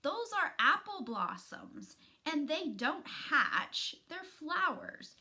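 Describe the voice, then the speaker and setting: A woman's voice: high voice, very animated, slow pace, bright, forceful tone, voice pushed up, full character voice. A woman voicing a young know-it-all boy possum, emphatic and matter-of-fact, in a children's storybook. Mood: correcting.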